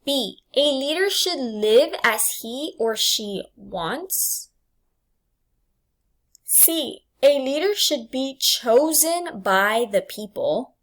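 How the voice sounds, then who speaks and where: Lady teacher reading quiz questions out loud, really slow n careful, voice goes up and down a lot. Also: high voice, expressive. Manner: deliberate, enunciated item-by-item delivery for students. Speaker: English language arts teacher. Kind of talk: reading test questions and answer choices aloud.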